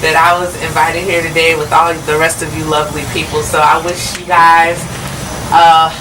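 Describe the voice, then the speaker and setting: A woman's voice: low-pitched, level tone, moderate pace. A woman guest giving a bubbly, laughing wedding toast at a reception. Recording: distant mic in a noisy crowd.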